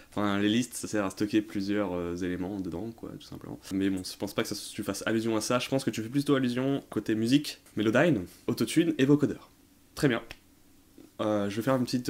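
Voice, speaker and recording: A man presenting an informal, off-the-cuff software tutorial, hesitant and self-deprecating as he explains things. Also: medium pitch. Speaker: tutorial presenter answering viewer questions.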